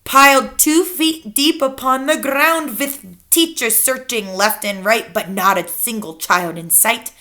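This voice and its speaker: A gloating old witch leader, acted out by a woman reading a storybook, chants her evil rhymes with theatrical glee. The voice is pitched high and forceful, and she stretches her words slowly for effect. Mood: gleeful. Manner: exaggerated, drawn-out, chanting rhymes.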